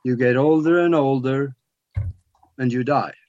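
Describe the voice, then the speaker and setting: A man's voice: medium pitch, measured pace, a little mellow, no room sound. A man in his seventies from Norway with a Norwegian accent, explaining things emphatically as a talk radio guest. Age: 70s. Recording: dull lo-fi talk radio audio.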